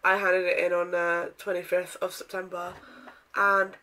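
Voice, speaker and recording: A young woman vlogging casually from her bedroom, with a croaky voice from a sore throat, chatting in a rambling way with lots of ums and throat clearing. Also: fairly low pitch, flat delivery, moderate pace, bright, forceful tone. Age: young adult. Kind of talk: casual day-in-my-life vlog. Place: bedroom, indoors.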